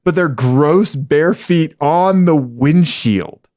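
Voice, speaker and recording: A man's voice: fairly high pitch, slow pace, no room sound, studio quality. A man hosting a podcast, telling an anecdote in casual chat with emphatic repetition. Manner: animated storytelling, emphatic repetition. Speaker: podcast host.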